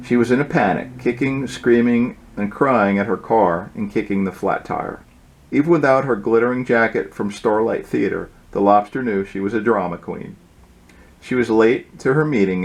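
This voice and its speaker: A man reading his own storybook aloud as its author, in an informal way with occasional halting asides. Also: lowish voice, rough recording.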